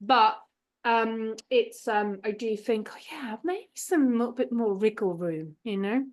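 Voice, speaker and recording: A woman, a hypnotherapist chatting as a podcast guest, laughing often and trailing off into softer asides. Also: fairly high pitch, measured pace. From England. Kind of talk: casual interview chat.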